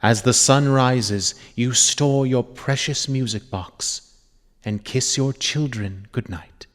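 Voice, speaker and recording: A man giving a theatrical, menacing dramatic reading of horror narration, deliberate and at times dropping into whispers. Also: medium pitch. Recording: long reverb over music. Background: music.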